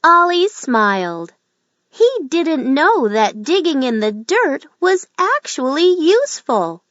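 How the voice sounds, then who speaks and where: A woman reads a children's picture book aloud in an unhurried, gentle storytelling manner. Her pitch moves expressively through each line. The recording is dry and slightly dull. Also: big pitch swings, no echo. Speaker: storybook reader. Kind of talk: children's read-aloud narration.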